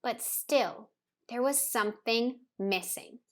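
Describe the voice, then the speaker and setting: Very slow woman reading a children's story. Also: on the high side. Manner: deliberate, careful phrasing for young children. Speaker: storybook reader. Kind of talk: children's story read-aloud, scripted narration.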